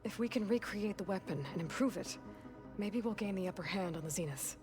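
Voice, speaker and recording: A determined young warrior woman played by an actress speaks in a measured, guarded way, natural as everyday talk. It is game cutscene dialogue with clear music playing underneath. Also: medium pitch, animated, lightly acted. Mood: matter-of-fact. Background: music behind the voice.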